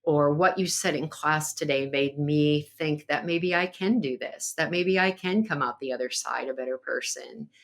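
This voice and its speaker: Podcast guest: woman, low voice, earnest, reflective, conversational. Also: moderate pace, hardly any pauses. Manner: earnest and reflective, with frequent fillers. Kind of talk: conversational interview.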